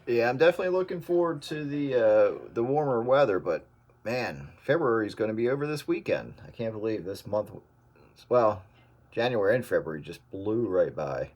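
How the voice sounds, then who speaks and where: Chill guy on the radio, lower voice, lots of uhs, easygoing. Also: normal speed. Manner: relaxed, hesitant, many fillers. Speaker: radio caller. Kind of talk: casual radio chat.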